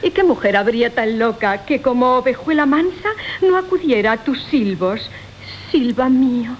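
Una actriz de acento castellano interpreta a una madre astuta y coqueta que engatusa a sus amantes, en una comedia clásica radiofónica, con tono zalamero y teatral. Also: female voice, a little high, lively intonation, moderate pace, close to an everyday voice, low-quality recording. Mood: wheedling, flattering. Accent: Castilian Spanish. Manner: wheedling, theatrical. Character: wily, coquettish mother conning several lovers for money. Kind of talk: classic Spanish comic radio theatre. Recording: hissy 1980 radio drama recording.

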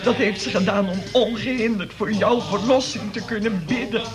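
A woman's voice: medium pitch, normal speed, over music, lightly acted. An actress playing a pious, doting, easily shocked mother in a radio play, sighing and fussing as she speaks. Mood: pious, admonishing.

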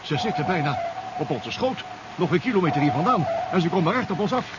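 An actor playing a hesitant, courteous professor who stammers politely and fussily in a 1970s Dutch sci-fi radio play. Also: male voice, on the high side, very animated, quick pace. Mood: nervous. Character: hesitant, courteous male professor piloting a submarine. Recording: dull, hissy 1970s radio recording.

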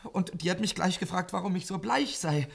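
A man's voice: high voice, a little fast, vocal fry, husky, full character voice. An actor playing a nervous male thief who stammers and pleads as he confesses, in 1950s radio drama style. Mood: nervous confession.

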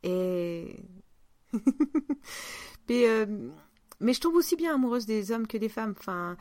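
A woman's voice: medium pitch, very animated, takes it slowly, darkish tone, dry recording, studio quality. A woman chatting casually as a podcast host, often letting her thoughts trail off. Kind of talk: casual one-on-one chat.

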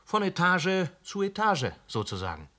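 A pushy police inspector, radio play, animated intonation, sarcastic. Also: male voice, sits high, normal speed, full character voice. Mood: pressing. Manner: sharp, sarcastic, pressing. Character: aggressive, blunt male police inspector. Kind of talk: German radio drama acting.

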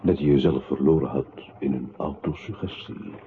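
A man acting a grave, brooding inner voice in a literary radio play, speaking in a measured, solemn way. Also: extremely low pitch, fairly even intonation, slight background noise. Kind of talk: literary radio play narration in second person. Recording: tinny band-limited radio drama with music.

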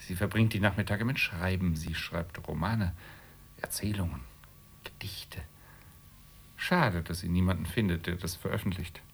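Gravelly, grumbling husband. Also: male voice, low-pitched, normal speed, slight background noise. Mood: needling. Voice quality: gravelly, husky. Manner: blunt, needling. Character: grumbling, blunt, nagging husband, middle-class Roman journalist. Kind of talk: radio drama acting.

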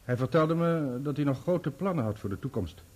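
An actor playing a dignified doctor giving formal, factual testimony in a radio play. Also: male voice, fairly high pitch, expressive, moderate pace, a little mellow. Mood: grave, factual. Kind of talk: radio play courtroom acting.

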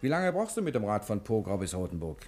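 A jaunty fugitive adventurer in a 1960s radio play. His fairly low voice swings playfully in pitch, breezy and self-mocking. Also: sing-song, quick pace, a little mellow, faint music behind. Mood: easygoing, teasing. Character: jaunty adventurous fugitive hiding with old friend.

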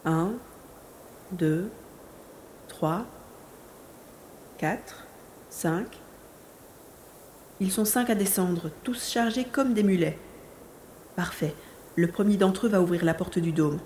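A woman's voice: lowish voice, slow, pauses often, darkish tone, echoey room, a little hiss or hum. A woman playing a determined young boy hero in an audio drama, thinking aloud as he acts. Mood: watchful. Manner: thinks aloud, narrating own actions.

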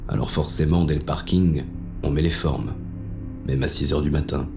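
A man playing a deep-voiced, jaded police inspector. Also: steady tone, moderate pace, music in the background. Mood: wry. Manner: colloquial, sardonic. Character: jaded, cynical male police inspector, gruff and irreverent. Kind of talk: first-person noir audio fiction narration.

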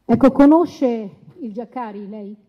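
A woman's voice: fairly high pitch, measured pace. An actress playing an assertive female lawyer who cross-examines formally and pointedly in a dramatised trial. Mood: pressing. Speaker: actor in a radio courtroom play. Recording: dull, long reverberant hall sound.

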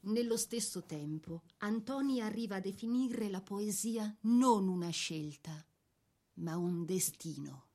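A woman narrating a scripted literary biography for a radio drama in a measured, literary delivery. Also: mid-range voice, animated, darkish tone.

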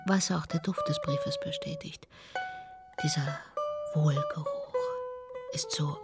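An actress playing a gossipy, self-satisfied matchmaker in a German radio play, theatrical and drawn out, often dropping into whispers. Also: female voice, very high-pitched, huge pitch swings, slow, exaggerated voice. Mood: knowing, savouring. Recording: studio radio play over plucked-string music.

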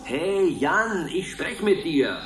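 A man's voice: fairly high pitch, sing-song, takes it slowly, a bit bright, roomy sound, full character voice. A man playing a touchy, exasperated supervisor in a radio drama, with a scolding, indignant manner. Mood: irritated.

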